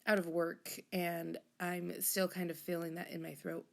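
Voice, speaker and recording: A woman with a low, gravelly voice talks through her journaling in a relaxed voice-over. Her throat is hoarse and a little froggy from being sick. She rambles, corrects herself, and lets some asides drop almost to a whisper. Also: normal speed. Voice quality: husky, rough. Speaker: journaling channel host. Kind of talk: casual voice-over chat.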